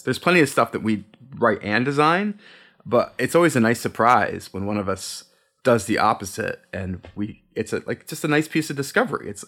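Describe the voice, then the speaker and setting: A man's voice: fairly low pitch, fairly bright tone. A man co-hosting a casual podcast chat, talking about sound design with enthusiasm and easy laughter. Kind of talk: casual conversation.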